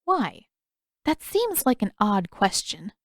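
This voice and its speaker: Woman voicing a patient older female healer, gently firm. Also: mid-range voice, wildly swooping pitch, slow pace, dry recording, clean studio sound. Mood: curious, probing.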